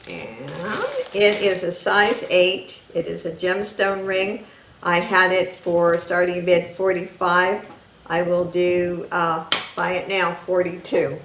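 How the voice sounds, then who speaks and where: Loud, slow-talking woman in echoey room. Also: lowish voice, fairly even intonation, noisy background. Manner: loud, slow and deliberate, item by item. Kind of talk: casual live-stream selling.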